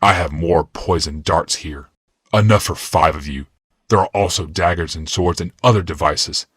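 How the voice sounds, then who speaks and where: A man voicing an arrogant, menacing warrior-healer in a fantasy audiobook, speaking with imperious, deliberate command. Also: low-pitched, a bit bright, slightly rough, no room sound, clean studio sound. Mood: cold threat.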